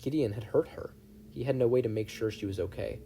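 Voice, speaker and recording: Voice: mid-range voice, a little fast, mellow, slight background noise. A narrator reading fan fiction aloud in a plain storytelling manner. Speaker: podfic reader and narrator.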